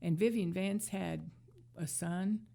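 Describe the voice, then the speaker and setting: A woman's voice: fairly low pitch, steady tone, slow, vocal fry, warm tone. A woman co-hosting a casual chat, hesitant and rambling in an agreeable way. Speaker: co-host.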